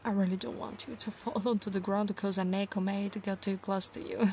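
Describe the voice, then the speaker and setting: An Italian woman with an Italian accent voicing a possessive, playful female centaur knight in an ASMR roleplay, doting on her human in soft murmurs that often slip into whispers. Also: mid-range voice, steady tone, moderate pace, slight background noise, close to an everyday voice. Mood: teasing, friendly. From Italy.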